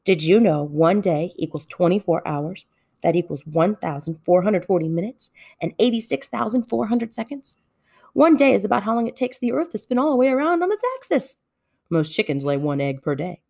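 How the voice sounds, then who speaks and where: Female storybook reader, expressive children's narration, slightly low-pitched with very lively intonation.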